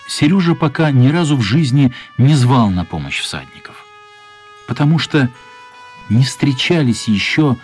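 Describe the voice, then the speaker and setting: A man narrating a children's radio play as scripted storytelling, measured and expressive, with pauses. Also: mid-range voice. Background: music.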